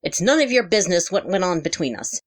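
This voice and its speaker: A woman's voice: fairly low pitch, sing-song, fast, dry recording. Woman acting a guarded, wounded father, close and dry. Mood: angry, defensive. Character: middle-aged father, guarded, wounded, apologetic. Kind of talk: dramatic character dialogue in a narrated audio story.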